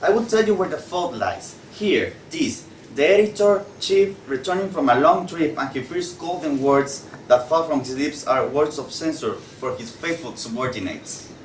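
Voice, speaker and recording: A man's voice: on the high side, lively intonation, moderate pace, natural voice. A man reading the part of an argumentative, wry magazine writer in a stage play table read. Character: argumentative male magazine writer defending poetry. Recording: distant echoey room, faint noise.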